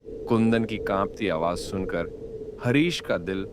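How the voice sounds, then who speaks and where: A man narrating a scripted moral story in a measured, dramatic storytelling manner. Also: on the low side. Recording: loud background noise.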